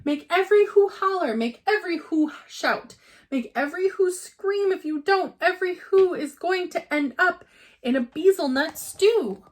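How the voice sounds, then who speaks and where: Woman acting a kindly elephant, high voice, earnest, emphatic, urgent calls, children's storybook character. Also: lively intonation, normal speed. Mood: urgent pleading. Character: earnest, pleading kindly elephant, urging and encouraging.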